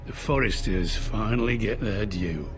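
An actor playing a hostile, sneering middle-aged lord in a dramatic fantasy video game. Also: male voice, lowish voice, measured pace, over music, lightly acted. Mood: gloating menace. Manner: sneering, blustering, profane. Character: hostile, foul-mouthed middle-aged lord, gloating and quick to rage.

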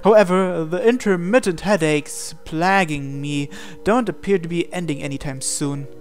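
A man's voice: a little high, normal speed, faint music behind. A man reading a visual novel aloud as dramatic narration.

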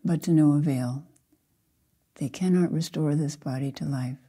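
Casting: older female meditation teacher, very dark, mellow tone, soft and husky, gentle measured phrasing with long pauses. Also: deep voice. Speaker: Zen teacher leading a guided meditation. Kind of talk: slow guided meditation.

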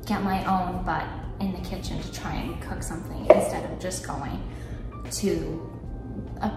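A woman's voice: on the low side, fairly even intonation, a little slow. A young woman in her twenties chatting casually and poking fun at herself while she bakes in her home kitchen, picked up by a camera set a few feet away. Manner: chatty, self-deprecating, talks while cooking. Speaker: lifestyle vlogger. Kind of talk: casual baking vlog chat. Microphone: camera on the counter a few feet away. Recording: roomy kitchen sound, mic at a distance. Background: background music.

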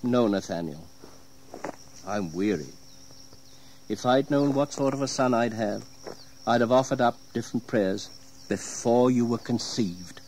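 An actor playing a stern, weary gentleman father in an audio drama, reproachful and formal. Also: mid-range voice, a little slow, pauses often, slight background noise, natural voice, rough recording. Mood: weary, bitter. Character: stern, weary gentleman father scolding his wayward son.

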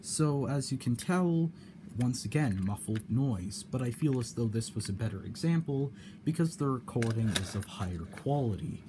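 A man giving a casual explanation in a hobby vlog, halting and often repeating his phrases. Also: mid-range voice, expressive. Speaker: cassette hobbyist demonstrating a problem. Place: indoor room at home. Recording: clear background noise.

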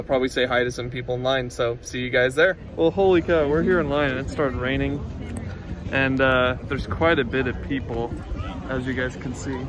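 Bright-voiced young man vlogging from Kansas. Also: mid-range voice, a little hiss or hum. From Kansas, USA. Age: young adult. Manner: relaxed and rambling, many fillers and restarts. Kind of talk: casual vlog chat. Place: street outdoors, then inside a parked car. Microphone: phone held at arm's length.

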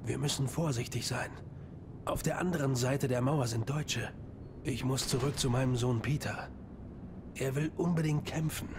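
Male actor as worried wartime resistance father, mid-pitched, urgent and cautious, game dialogue over clear rumble. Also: normal speed, natural voice. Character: worried Dutch resistance father helping Allied soldiers. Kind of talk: dubbed war game dialogue.

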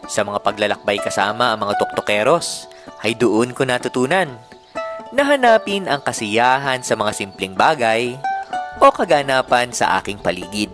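Young male narrator, calm poetic voice-over, lively intonation, over music. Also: medium pitch, moderate pace. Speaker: vlogger narrating his trip. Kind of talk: scripted reflective voice-over narration. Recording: voice-over with loud music behind.

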